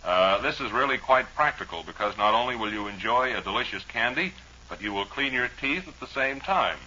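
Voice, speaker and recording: A man's voice: medium pitch, animated, brisk, bright, forceful tone, highs cut off. A man hosting a 1940s live radio show, chatting and reading listener mail in a rambling, dryly humorous, mock-serious way, on an old broadcast recording.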